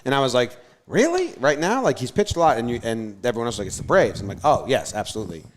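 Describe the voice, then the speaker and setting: A man's voice: medium pitch, very animated, fast. A young man co-hosting a casual sports show, teasing his friends and working through standings aloud. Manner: teasing, thinks aloud with restarts. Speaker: podcast co-host.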